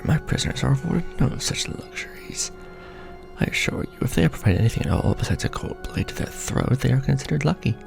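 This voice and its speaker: A man playing an ancient, world-weary vampire lord in an intimate ASMR roleplay, speaking formally and grandly in a breathy near-whisper with soft menace. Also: medium pitch. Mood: courteous, faintly menacing. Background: music.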